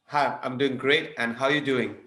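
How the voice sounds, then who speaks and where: A young man in his twenties from eastern India speaks English with an Indian accent; his first language is Bengali. He chats as an eager guest at a middle pitch. His voice comes over a remote call and sounds roomy. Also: steady tone, moderate pace, bright, forceful tone. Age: 20s. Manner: chatty, enthusiastic storytelling. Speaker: podcast guest. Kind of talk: casual interview chat.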